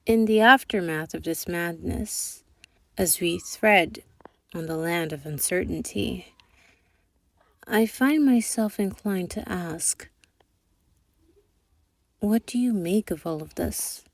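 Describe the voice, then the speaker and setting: A young woman voicing a calculating female thief boss in an ASMR roleplay. She speaks softly and formally, dropping into whispers. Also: fairly low pitch, dry recording. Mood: tender confession. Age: young adult. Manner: measured, precise, formal scolding.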